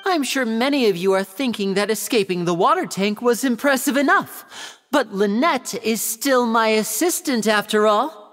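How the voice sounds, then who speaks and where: A woman's voice: fairly high pitch, animated, normal speed, big-room sound, lightly acted. A woman voicing a suave young male stage magician in a video game, addressing an audience with theatrical, polished and courteous patter. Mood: showy, gracious.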